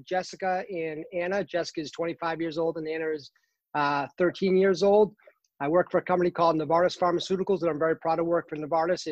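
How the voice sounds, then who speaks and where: A man in his fifties with a Boston accent, a guest in a casual interview, talking earnestly with many pauses and fillers. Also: fairly high pitch, no echo, dull audio. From Boston, Massachusetts. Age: 50s. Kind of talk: casual interview over a call.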